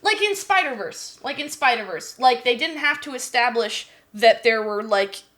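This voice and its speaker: A woman's voice: a little high, lively intonation, moderate pace, a bit bright. A woman chatting casually on a podcast as a guest, with an emphatic, slangy way of talking. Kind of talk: casual chat.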